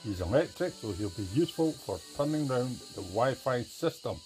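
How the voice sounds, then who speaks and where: A man playing a brisk male boss giving out instructions in a story. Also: medium pitch, animated, a little mellow, soft background music, close to an everyday voice. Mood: instructing.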